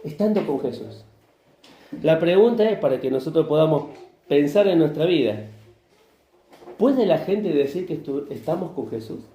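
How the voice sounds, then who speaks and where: Argentine pastor preaching in an echoey hall. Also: male voice, fairly high pitch, animated, moderate pace, long pauses, mellow. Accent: Argentine Spanish. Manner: conversational preaching, asks the congregation questions, acts out bits of dialogue. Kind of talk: sermon. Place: church hall. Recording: echoey church room, faint ambience.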